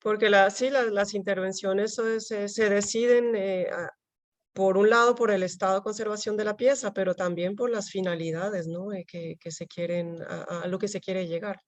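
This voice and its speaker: A woman with a slight Colombian accent, answering audience questions about paper conservation after a lecture, pausing often with fillers. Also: medium pitch, fairly even intonation, no echo. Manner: hesitant, many fillers, technical.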